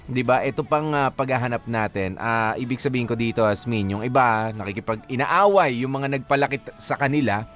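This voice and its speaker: Casting: male Filipino radio host, mid-pitched, casual Taglish letter reading and commentary, music bed underneath. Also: brisk, fairly bright tone. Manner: code-switches between Tagalog and English. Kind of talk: radio advice show reading and casual banter. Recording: music playing behind the voice.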